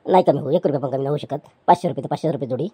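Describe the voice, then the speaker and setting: Lady voicing a meek chair seller guy, super fast, husky, dry close sound, keeps pleading. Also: extremely low pitch, warm tone, no room sound. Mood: placating. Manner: pleading, placating, stammering restarts.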